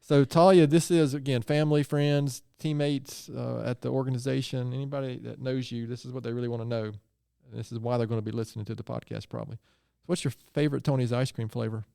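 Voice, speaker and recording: Gravelly-voiced host, chatty. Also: male voice, medium pitch, steady tone, a little fast, few pauses, mellow, no echo, clean studio sound. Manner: friendly, chatty, rambling asides. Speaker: podcast host. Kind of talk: casual interview chat.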